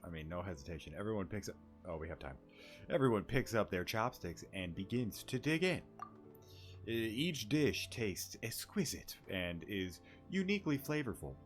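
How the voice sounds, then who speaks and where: Casting: male let's-play streamer, deep register, relaxed slangy delivery, soft game music underneath. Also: normal speed. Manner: laid-back, slangy. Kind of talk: casual let's-play commentary, reading game text aloud.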